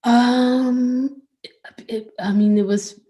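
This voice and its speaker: A woman's voice: a little high, fairly even intonation, takes it slowly, warm tone. A woman giving reflective interview answers as a curator, hesitant and searching for words. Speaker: curator and art space director, guest.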